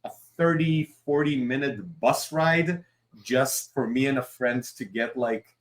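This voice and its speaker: A man's voice: medium pitch, measured pace. A man in his forties from Israel, a Hebrew speaker, talking casually as an interview guest, rambling with fillers and asides. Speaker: guest, creative director and comic writer.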